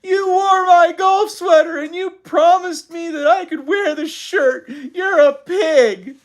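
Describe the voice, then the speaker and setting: Shrill, squealing, childish mother. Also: slow. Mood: wailing. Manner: shrieking, whiny, wailing. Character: childish squealing mother throwing a frantic tantrum.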